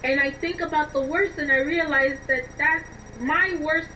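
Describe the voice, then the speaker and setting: A woman answering interview questions conversationally, in an expressive, emphatic way. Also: sits high, normal speed. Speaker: interview guest, scriptwriter and film blogger. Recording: dull, distant, noisy line.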